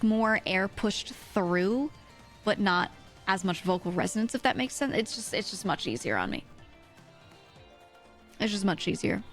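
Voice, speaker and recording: A woman livestream host with a thin voice, chatting casually and animatedly to her viewers in a rambling, giggly way, close on a streaming mic. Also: mid-range voice. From US East Coast. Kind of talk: casual livestream chat, reading and answering viewers' comments. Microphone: close streaming microphone. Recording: music playing behind the voice. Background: background music.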